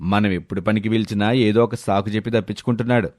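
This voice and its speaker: A man's voice: on the low side, steady tone, fast talker, a little mellow, no echo, studio quality, natural voice. Blunt hardworking villager played by a man. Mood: scornful. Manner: blunt, reproachful. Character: hardworking village men scolding a lazy neighbour. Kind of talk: storybook character voice.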